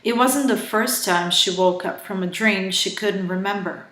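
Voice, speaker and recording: A woman's voice: medium pitch, fairly bright tone. Brazilian-accented woman reading a thriller. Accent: Brazilian Portuguese. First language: Portuguese. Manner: careful, halting delivery with frequent self-corrections. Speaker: author reading her own novel. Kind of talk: reading a thriller novel aloud. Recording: roomy echo.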